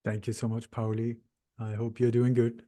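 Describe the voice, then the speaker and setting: A man in his sixties, born in Denmark and raised in Sweden, speaking English in a podcast interview, explaining things earnestly and steadily with frequent pauses. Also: medium pitch, completely flat delivery, dark tone, no room sound. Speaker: interview guest, researcher.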